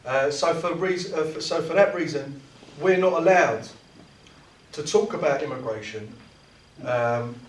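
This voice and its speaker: A man delivers a fervent political speech, often stumbling and repeating words as he pushes his point. His voice sits a little high for a man. It is captured from a distance in a roomy meeting hall. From South East London. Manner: impassioned, restarts and repeats words. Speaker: trade union activist speaker. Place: meeting room.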